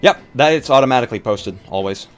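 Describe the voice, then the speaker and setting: A man's voice: mid-range voice, animated, quick pace, soft background music. A man co-hosting a livestream in casual, conversational chat. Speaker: stream co-host.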